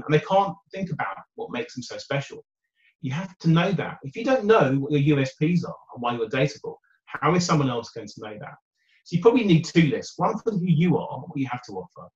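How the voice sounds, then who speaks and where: A British man in a dating coach interview, with a loud voice and an upbeat, chatty way of talking. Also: on the high side. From United Kingdom. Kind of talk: conversational interview answers. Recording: roomy, dull remote audio.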